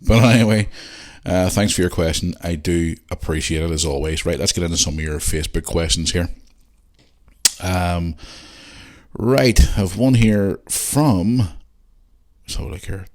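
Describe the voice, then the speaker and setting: A man's voice: low-pitched, measured pace, pauses often, darkish tone. A man with a Northern Irish accent hosting a podcast, chatting casually and informally as he goes through listener messages. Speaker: podcast host answering listener questions. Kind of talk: casual chat and reading out messages.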